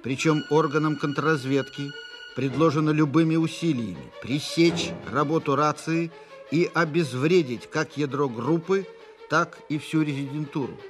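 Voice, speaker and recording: Actor as a solemn dispatch reader over music. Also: male voice, on the high side, normal speed, close to an everyday voice. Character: formal, solemn reader of official wartime dispatches. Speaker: reader of a military telegram in an audio drama. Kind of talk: scripted dramatic narration. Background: faint music.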